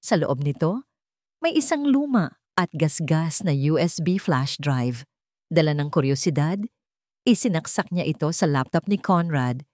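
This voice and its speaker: A woman's voice: low-pitched, expressive, measured pace, darkish tone, no echo, clean studio sound. A woman storyteller giving a dramatic, scripted narration of a love story.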